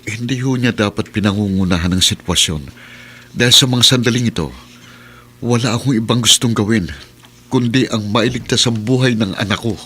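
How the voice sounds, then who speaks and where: A man's voice: lowish voice, a little fast, fairly bright tone, slight background noise, natural voice. An actor playing an anguished, devoted lover and father in a radio drama, speaking earnestly and emotionally. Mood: earnest, distressed. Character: anguished man in love, fiercely devoted new-found father. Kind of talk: Tagalog radio drama acting.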